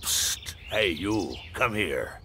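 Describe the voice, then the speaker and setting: An actor playing a shady, flattering old seaman in a video game, with a sly, ingratiating manner. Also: sits low, drawn-out words, slight background noise, heavily acted. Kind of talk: video game dialogue acting.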